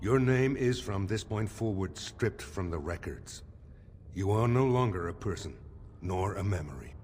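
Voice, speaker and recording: An actor playing a stern, solemn dwarf lord in a fantasy game, speaking formally and with measured weight. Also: male voice, on the low side, lively intonation, a little mellow. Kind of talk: fantasy video game acting. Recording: long hall reverb, faint ambient noise.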